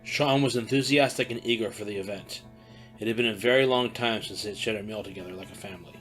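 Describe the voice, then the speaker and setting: A man narrating an audio drama in an even storytelling way. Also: on the low side, quick pace. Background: faint background music.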